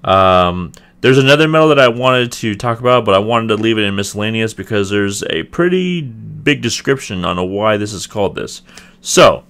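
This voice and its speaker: A young man with a slightly raspy voice, casually reviewing a video game and hedging often. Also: on the low side, lively intonation, normal speed, a bit bright. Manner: rambling, hedging, many ums and restarts. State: clearing throat, voice getting raspy. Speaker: gaming video host. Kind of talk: casual gameplay review commentary.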